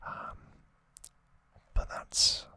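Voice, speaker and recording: Slow, bright whispering man. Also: no echo. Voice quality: breathy, unvoiced whisper throughout. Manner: soft, unhurried, halting delivery with pauses. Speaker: ASMR host. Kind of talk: whispered ASMR story recap.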